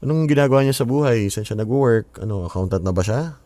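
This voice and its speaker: A man's voice: medium pitch, lively intonation, fast talker, dark tone, no room sound, lightly acted. An actor playing a curious, pushy young man in a radio drama, with an inquisitive, pressing way of talking. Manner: inquisitive, pressing, casual Taglish.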